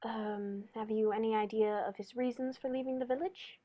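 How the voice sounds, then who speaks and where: A woman playing a composed, courteous male detective with formal, measured phrasing in a radio play. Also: fairly high pitch, steady tone, natural voice. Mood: polite, attentive. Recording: dull lo-fi recording.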